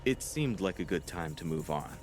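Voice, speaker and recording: Big calm hunter, super deep creaky voice, music clearly behind. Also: male voice, normal speed. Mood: placid, measured. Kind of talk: video game cutscene acting.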